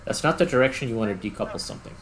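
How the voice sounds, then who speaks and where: A man giving casual gameplay commentary, thinking aloud in short, halting remarks. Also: medium pitch, expressive, a bit bright. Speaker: gaming host.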